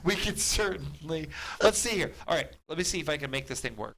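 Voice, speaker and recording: A man's voice: fairly high pitch, animated, a bit bright. A man co-hosting a casual livestream, muttering to himself as he works the tech and reads out viewer messages. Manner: halting, muttering to self while working. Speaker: livestream co-host running the tech. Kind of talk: casual chat, reading viewer messages aloud.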